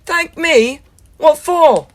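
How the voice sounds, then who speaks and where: A woman's voice: sits high, drawn-out words. A teenage girl voicing an eager, bubbly small boy in a school stage play. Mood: excited. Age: teens. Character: excited small sickly boy, joyful.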